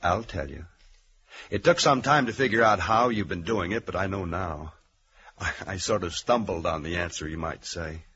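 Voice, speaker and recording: Callow young accountant crook, fairly low. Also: male voice, normal speed, pushed and bright, slightly rough, heavily acted. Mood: smug, amused. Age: young adult. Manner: insinuating, self-satisfied. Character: callow young male accountant, smug petty thief. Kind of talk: old radio thriller acting. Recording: dull radio recording, faint music.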